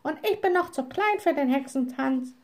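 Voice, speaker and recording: Little witch for a children's storybook, woman reader acting: very high voice, hot-tempered, indignant, headstrong. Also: animated, normal speed, darkish tone. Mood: sulky, indignant. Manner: stubborn, indignant. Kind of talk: storybook character voice.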